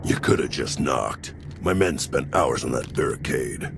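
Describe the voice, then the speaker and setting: An actor playing a gruff, menacing ex-soldier smuggler boss in a video game cutscene. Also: male voice, a bass voice, expressive, a little slow, background noise, full character voice. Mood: cool menace.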